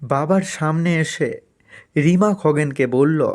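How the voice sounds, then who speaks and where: A man's voice: on the high side, takes it slowly, darkish tone. A man narrating a scripted cartoon folk story as its storyteller.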